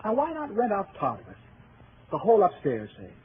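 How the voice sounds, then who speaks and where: An actor playing a brisk, patronizing male doctor, insistent and persuasive, in old-time radio drama style. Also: high-pitched, huge pitch swings. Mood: insistent, advising. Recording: tinny, hissy old radio broadcast.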